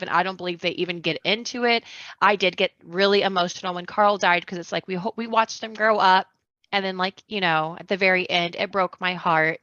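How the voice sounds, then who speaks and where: A woman's voice: medium pitch, sharp tone, dry recording, muffled recording. A woman guest chatting casually on a podcast in a rambling, enthusiastic way. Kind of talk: casual chat.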